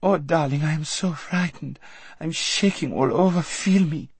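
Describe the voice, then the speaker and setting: A man voicing a timid, pampered young woman who squeaks and whimpers with fright. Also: a little slow, vocal fry, husky, full character voice. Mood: whimpering.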